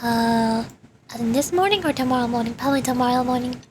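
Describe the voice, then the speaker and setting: A woman with a very high voice streams a video game. She comments as she plays and reads the text aloud. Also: a little fast, a little hiss or hum. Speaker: VTuber streamer.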